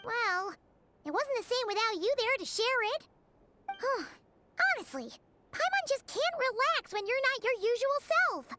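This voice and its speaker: An extremely high, squeaky little fairy from a video game. The childlike companion is fussy and affectionate, gushing in lively swoops. There is loud noise and clicking behind the voice. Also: female voice, a little slow. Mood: plaintive. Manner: refers to self in third person, gushing.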